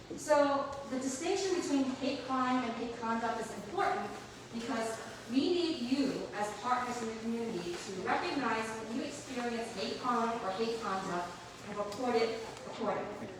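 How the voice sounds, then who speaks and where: A woman on a panel from a human rights office, explaining to a public audience in an energetic, emphatic way. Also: on the high side, normal speed. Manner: energetic and emphatic, says she has a loud voice. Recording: echoey room, mic from a distance, background noise.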